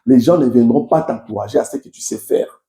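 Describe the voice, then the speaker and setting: A man's voice: medium pitch, fairly quick, mellow, roomy sound. A man from Kasai with a Congolese French accent, whose first language is Tshiluba, giving impassioned political commentary and speaking directly to his followers. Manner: emphatic, repetitive, addresses listeners directly.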